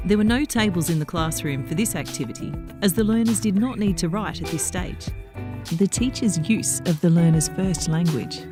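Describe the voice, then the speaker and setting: Woman narrator, lower voice, scripted training voice-over, music bed. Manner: measured, explanatory.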